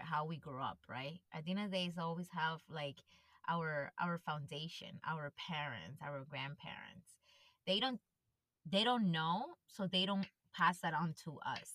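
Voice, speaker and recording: A woman from Ecuador hosting a relaxed podcast conversation, her voice bright and a touch lower than most. She chats freely with many fillers and slips in Spanish phrases. The sound is close and dry, with no room around it. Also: no echo. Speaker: podcast host. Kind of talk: casual interview chat.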